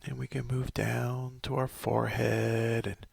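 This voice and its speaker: A middle-aged man leading a guided body scan meditation, slow and soothing, often sinking into a whisper. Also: mid-range voice, little pitch movement, vocal fry, slightly rough, dry recording.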